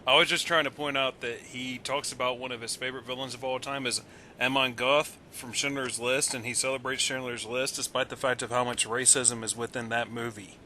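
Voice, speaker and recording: Male panelist, bright mid-range voice, insistent, casual explainer. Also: mid-range voice, fairly even intonation, brisk, slight background noise. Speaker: commentary panelist. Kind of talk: casual group commentary.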